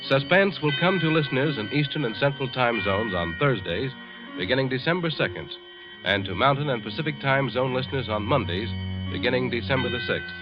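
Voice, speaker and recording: A dull old broadcast of a male network announcer with music clearly behind him. His delivery is formal, scripted and emphatic, in classic forties radio manner. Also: medium pitch, animated, fairly quick.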